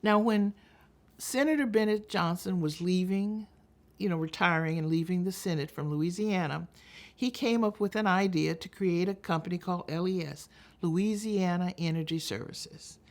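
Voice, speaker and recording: An older American woman from Texas giving a storytelling advocacy talk, speaking deliberately and emphatically with dramatic pauses. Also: on the low side. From Texas, United States. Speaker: public speaker.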